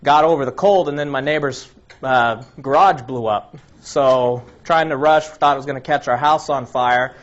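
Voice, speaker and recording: Guy giving a health talk, bit raspy from a cold, average pitch, dull muffled recording. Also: moderate pace. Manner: explanatory, lists items one by one, frequent restarts. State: slightly raspy from a recent cold. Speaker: health presenter. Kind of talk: informal lecture to a live audience.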